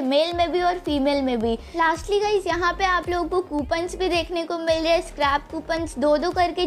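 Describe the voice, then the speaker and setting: A young woman with an Indian accent, hosting a gaming channel and explaining a game event step by step to viewers. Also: very high-pitched. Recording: voice-over with music underneath. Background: background music.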